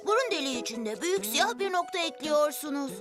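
A woman's voice: sits high, big pitch swings, fairly quick. A woman voicing an upbeat, helpful young male character who teaches drawing step by step in a preschool cartoon. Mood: cheerful, instructive. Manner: clear, simple instructional phrasing. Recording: loud music behind the voice.

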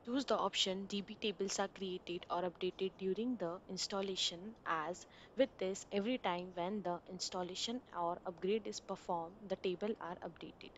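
A woman narrating a screen-recorded software installation walkthrough, giving plain step-by-step instructions over a desktop mic. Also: mid-range voice, moderate pace, few pauses, lo-fi sound, slight background noise.

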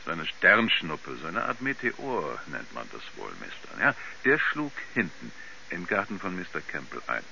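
An actor playing a folksy, skeptical neighbour man with a halting, dismissive way of talking in a radio drama. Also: low voice, wildly swooping pitch, gravelly, bright, forceful tone, highs cut off, full character voice. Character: folksy, skeptical male neighbour, chatty and hesitant. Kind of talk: 1970s German radio drama acting. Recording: hissy, band-limited 1970s radio recording.

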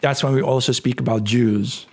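A middle-aged man hosting a podcast, speaking from a home room into a studio mic, hoarse and clearing his throat, thinking aloud with fillers. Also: mid-range voice, fairly even intonation, moderate pace. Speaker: podcast host. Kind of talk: casual explanatory talk over screen share. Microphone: studio mic on boom arm.

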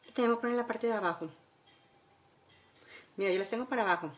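A woman's voice: on the high side, fast talker. A woman in her forties with a Mexican accent, chattily talking viewers through a casual makeup tutorial at home. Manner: instructional, chatty, with frequent checking tags. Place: room at home by a window, indoors.

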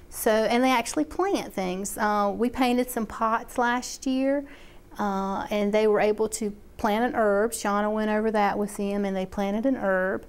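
Middle-aged woman, community agent, conversational and filler-heavy, resonant clear tone. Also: mid-range voice. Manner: chatty, with many fillers and restarts. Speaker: extension agent. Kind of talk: interview about a program.

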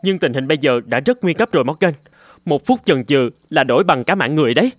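A man's voice: a little high, lively intonation, fast, no room sound. A man voicing an anxious, earnest rescue worker in a cartoon drama. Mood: urgent.

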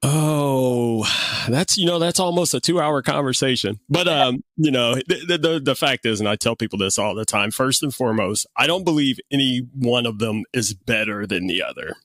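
A man's voice: mid-range voice, animated, normal speed, a bit bright, dry recording. A man hosting a podcast, chatting casually with a guest in a jokey, easygoing way with frequent chuckles. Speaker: podcast host. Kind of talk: casual interview chat.

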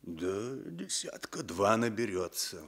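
Low-voiced, world-weary police sergeant. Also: male voice, sing-song, slow pace, creaky, a bit bright, breathy edge, heavily acted. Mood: weary, resigned. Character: world-weary, seasoned police sergeant, deferential to his captain. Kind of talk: 1960s Soviet radio play acting.

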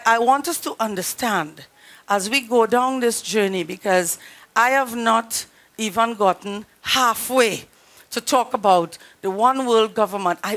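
Slightly hoarse Trinidadian woman pastor preaching. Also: a little high, a bit bright. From Trinidad and Tobago. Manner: earnest, emphatic, direct address to congregation. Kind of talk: sermon. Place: small indoor studio. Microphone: headset mic.

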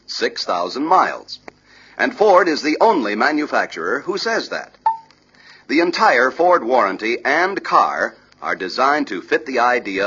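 A man's voice: medium pitch, bright, forceful tone, a little hiss or hum. An American man reading scripted 1960s sales training narration in an emphatic, persuasive, salesman-like manner. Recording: dull, lo-fi 1960s film soundtrack.

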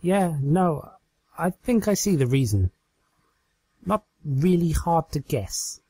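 Man as a casual, higher-pitched female pony. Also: very animated, slow pace, warm tone, husky, no room sound, full character voice. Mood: dryly unimpressed.

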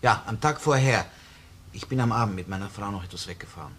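An actor playing a reserved, controlled husband who answers police questions tersely and guardedly in a crime radio play. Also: male voice, fairly low pitch, fast, fairly bright tone, a little hiss or hum, close to an everyday voice. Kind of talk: German-language crime radio play acting.

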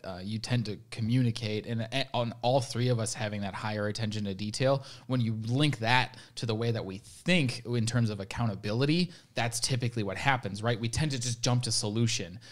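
A man's voice: lowish voice, brisk, few pauses. A man in his thirties co-hosting a veterinary podcast, explaining his points reflectively with frequent restarts. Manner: gestures while talking. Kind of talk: casual podcast discussion. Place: home room, indoors. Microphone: studio mic on boom arm, headphones.

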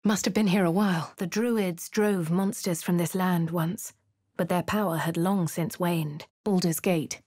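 Posh english lady adventurer, lowish voice, dead dry booth sound. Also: steady tone, measured pace, no echo. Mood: brooding. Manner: cycles between quiet inner narration, hushed whispers and shouted spell incantations. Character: posh young female adventurer narrating her thoughts. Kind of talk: game voice lines.

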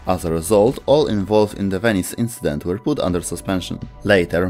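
A man's voice: low voice, darkish tone. A man reading scripted narration as the narrator of a video explainer. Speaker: narrator of a game lore video.

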